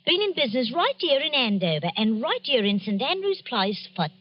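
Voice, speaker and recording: A working-class English woman keeping shop. She is chatty and excitable, her high voice leaping wildly up and down through a muffled old radio drama recording. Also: brisk. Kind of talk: vintage radio drama acting.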